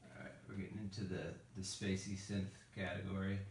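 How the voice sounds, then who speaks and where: A man giving a casual, hands-on software tutorial as a musician, thinking aloud in an informal way. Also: low voice, flat delivery, fairly quick, gravelly, echoey room, noisy background. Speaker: musician demonstrating a vocoder.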